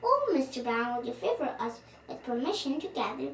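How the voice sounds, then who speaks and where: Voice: high voice, sing-song, fairly quick, a bit bright. Child as polite, humble little storybook squirrel. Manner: courteous, formal pleading. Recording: roomy, distant mic, faint noise.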